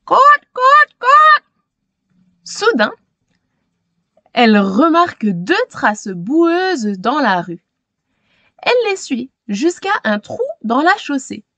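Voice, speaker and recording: Slow, very animated woman reading a storybook. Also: on the high side, no room sound. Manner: careful, expressive, dramatic. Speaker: storytime reader and host. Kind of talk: scripted children's storybook narration.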